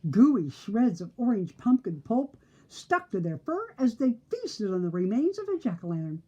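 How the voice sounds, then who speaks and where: A woman's voice: fairly high pitch, big pitch swings, moderate pace, dark tone, breathy edge, muffled recording. An older woman reading a children's story aloud expressively, with theatrical, playful emphasis. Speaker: storybook reader.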